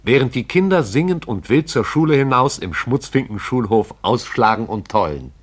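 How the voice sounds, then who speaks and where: An actor narrating a literary radio play as a lyrical storyteller, with measured, poetic delivery. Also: male voice, medium pitch, expressive, slightly rough. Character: lyrical male storyteller narrating a small town's morning.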